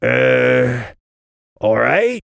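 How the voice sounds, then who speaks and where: A squat, crude goblin guard from a fantasy storybook. He is surly and slow-witted, blustering in a raised, forceful pitch and tripping over muddled slang. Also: sing-song, no echo, clean studio sound, full character voice. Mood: puzzled. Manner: blustering, halting, muddled speech with rough British-style slang.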